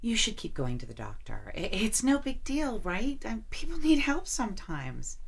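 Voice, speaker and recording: An actress playing a concerned, tentative young college friend in an audio drama, speaking hesitantly and carefully. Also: female voice, a little high, huge pitch swings, moderate pace, gravelly, husky, full character voice.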